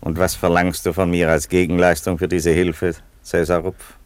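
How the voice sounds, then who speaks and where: An actor playing a wry, composed Roman emperor in a radio play, speaking with dry irony. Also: male voice, deep voice, little pitch movement, normal speed, clear, resonant tone, no room sound, close to an everyday voice. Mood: polite, ironic. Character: wry, unhurried Roman emperor, husband and father. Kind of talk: German radio play acting.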